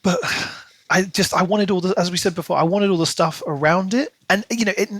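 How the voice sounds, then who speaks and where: A man's voice: high-pitched, fairly quick, fairly bright tone, dry recording. A man co-hosting a book podcast, recapping and discussing a novel. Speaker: podcast co-host. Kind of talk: book discussion podcast, reading a plot recap then casual chat.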